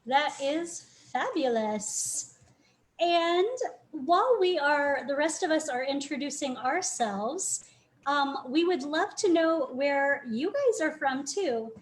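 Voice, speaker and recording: A woman's voice: high voice, lively intonation, takes it slowly. A woman hosting a live video chat, upbeat and enthusiastic as she introduces her guests. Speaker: host.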